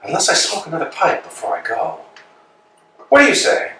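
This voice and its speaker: A man doing a grandiose, self-important landowner who lords it over everyone, his voice leaping from booming commands down to fussy, muttered asides. The pitch sits a touch high and swoops widely. It sounds recorded from across a bare, echoey room. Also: wildly swooping pitch, moderate pace, bright, forceful tone, slight background noise, heavily acted. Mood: pompous. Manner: grandiose, theatrical, swings between bluster and murmurs. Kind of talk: staged play reading. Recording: echoey room, mic far off.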